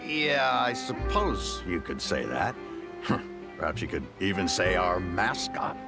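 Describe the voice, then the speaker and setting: A man's voice: sits low, very animated, slow pace, creaky, heavily acted. A man playing an easygoing, cheeky citizen in a game, talking in a casual, playful way. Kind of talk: game voice acting. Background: music.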